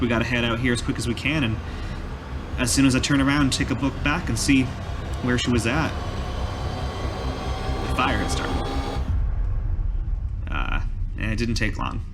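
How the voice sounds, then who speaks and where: A man voicing a weary village cobbler in a tabletop roleplay, telling his story in a halting, folksy way. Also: medium pitch, background noise, natural voice. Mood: somber, haunted. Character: weary male village cobbler recalling a massacre. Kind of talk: in-character tabletop roleplay monologue. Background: background music.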